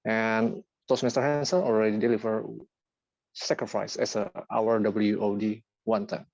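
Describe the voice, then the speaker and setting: A man reading out a filler-word tally at a club meeting, in a list-like, halting way with frequent "uh". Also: on the low side, level tone, darkish tone, no room sound. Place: online video meeting. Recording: dull lo-fi call audio.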